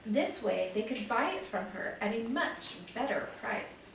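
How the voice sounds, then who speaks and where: A woman's voice: a little high, lively intonation, measured pace, vocal fry, a little hiss or hum. A woman reading the part of a friendly young woman who explains things in a matter-of-fact way. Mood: explaining. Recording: very echoey room, distant mic.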